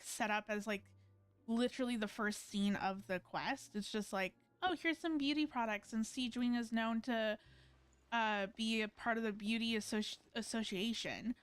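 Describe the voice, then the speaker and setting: A woman's voice: on the high side, fairly bright tone, clear, resonant tone, no echo. A woman game streamer giving casual live commentary, rambling as she thinks aloud.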